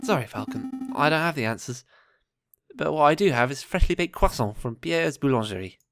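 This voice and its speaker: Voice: mid-range voice, animated, brisk, voice pushed up, close to an everyday voice. A game player voicing a small, earnest young male sparrow detective in a polite, hesitant way, with faint music behind. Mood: consoling.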